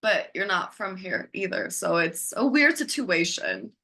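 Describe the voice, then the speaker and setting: A woman's voice: mid-range voice, lively intonation, measured pace, vocal fry. A woman from Mexico hosting a podcast interview in a casual, chatty way, peppering her questions with "like". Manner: warm-up chatter with lots of "like", laughs easily. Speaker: podcast host.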